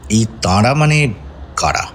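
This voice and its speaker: Inquisitive detective, very varied intonation. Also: male voice, fairly low pitch, animated, measured pace, a little hiss or hum. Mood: probing. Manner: probing, conversational questioning. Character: senior male detective, inquisitive, composed, probing. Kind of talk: Bengali radio detective drama acting.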